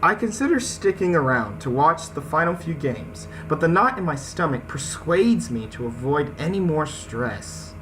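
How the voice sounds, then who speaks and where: A man's voice: fairly high pitch, very animated, normal speed, few pauses, poor audio. A man hosting a gaming video, moving between casual slangy commentary and reading a story aloud. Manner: slangy, informal asides. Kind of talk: casual let's play with read-aloud story narration. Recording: game music behind the voice. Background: video game music.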